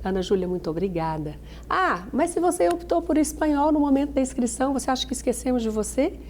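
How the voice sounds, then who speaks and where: A woman's voice: sits high, expressive, quick pace, darkish tone, a little hiss or hum. A woman hosting an educational program, introducing guests and segments in upbeat announcer style. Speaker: program host. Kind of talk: presenter links.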